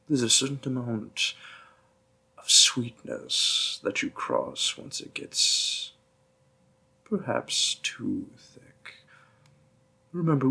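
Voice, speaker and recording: A man voicing a gentle, doting demon boyfriend in an intimate roleplay audio, with formal, courtly, coaxing phrasing and a breathy, half-whispered delivery. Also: medium pitch, exaggerated voice. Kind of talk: roleplay audio, intimate second-person acting.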